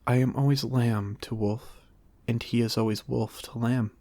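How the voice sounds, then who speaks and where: A man voicing a serene, gentle female lamb spirit from a storybook, speaking formally and with calm reassurance. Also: medium pitch, unhurried, no room sound, lightly acted. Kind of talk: storybook character reading.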